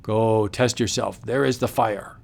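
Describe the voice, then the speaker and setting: A man's voice: on the low side, steady tone, measured pace, creaky, faint music behind. A man voicing a proud, mighty witch doctor with stilted, declamatory ritual speech in a comic book audiobook. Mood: grave, approving.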